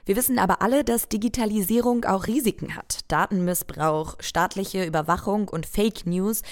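A woman host reading a scripted podcast introduction in a clear, friendly voice. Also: mid-range voice, normal speed.

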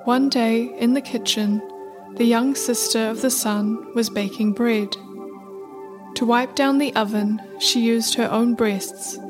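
A woman's voice: on the high side, fairly even intonation, takes it slowly. A woman narrating a scripted folktale as the storyteller of a radio play. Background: music.

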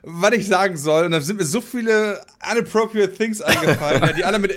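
A man's voice: sits high, fairly quick, noisy background. A man in a casual podcast chat who talks hesitantly and searches for words. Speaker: podcast regular.

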